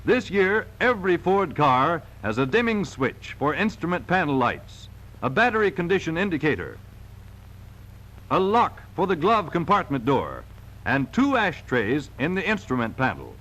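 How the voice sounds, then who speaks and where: A male narrator from a 1940s promotional film, pitched fairly high and moving in big, lively swings. He delivers polished sales copy with firm emphasis on key words. The sound is that of a vintage film soundtrack. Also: normal speed, poor audio.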